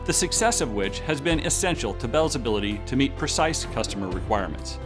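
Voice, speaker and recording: Brief: male presenter, formal ceremonial read, lively pitch, music clearly underneath. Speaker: award presenter. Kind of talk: formal scripted award introduction.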